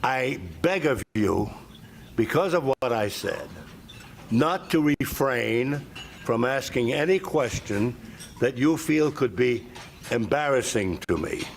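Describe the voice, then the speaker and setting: Slow, gravelly man speaking formally. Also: medium pitch, animated, a little hiss or hum. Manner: measured, deliberate and formal. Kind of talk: formal public statement.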